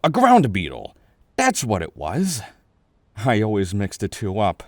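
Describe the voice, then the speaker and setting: Gentle, rambling older chess king played by a man, soothing ASMR roleplay, deep voice, very dry close sound, halting reassurance. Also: wildly swooping pitch, no echo. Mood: musing, fascinated.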